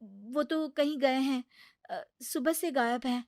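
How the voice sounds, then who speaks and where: A woman voicing a worried, outspoken young wife who argues and scolds in an animated Hindi moral story. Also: high-pitched, slow pace, no echo, studio quality.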